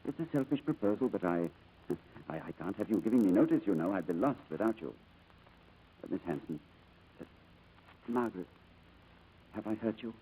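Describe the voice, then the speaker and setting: An actor playing a reserved, courteous gentleman with a hesitant, formal manner in a 1940s radio drama. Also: lowish voice, lively intonation, normal speed, long pauses, phone-quality sound. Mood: gentle, pleading. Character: reserved, courteous wealthy English businessman, haunted by lost past. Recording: noisy vintage radio broadcast.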